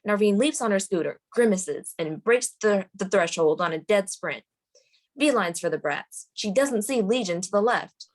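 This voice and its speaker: Female table-read narrator, medium pitch, delivering scene headings and action lines from a script. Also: expressive, normal speed. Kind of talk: reading screenplay stage directions aloud.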